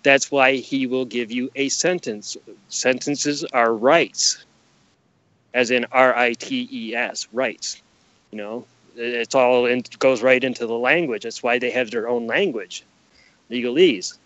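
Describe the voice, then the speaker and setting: A man's voice: mid-range voice, measured pace, fairly bright tone, dry recording. A man speaking as a podcast guest, explaining his views emphatically, repeating key phrases and posing rhetorical questions. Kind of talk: conspiracy-minded explanatory interview talk. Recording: dull, lo-fi sound.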